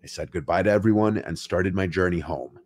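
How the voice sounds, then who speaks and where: A man narrating a scripted first-person scary story in a measured, unhurried way. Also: sits low, slight background noise. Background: music behind the voice.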